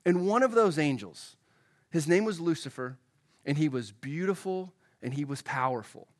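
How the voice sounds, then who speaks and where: A man's voice: fairly high pitch, animated, normal speed. A man preaching a sermon as a church pastor, a little froggy from a head cold, talking conversationally and putting questions to the congregation.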